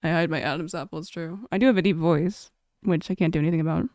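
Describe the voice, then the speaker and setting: Chatty young woman streamer, smooth voice. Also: low voice, fairly even intonation, quick pace, a little mellow, dry recording, clean studio sound. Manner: chatty, laughs often, reads chat aloud. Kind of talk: casual live-stream chat.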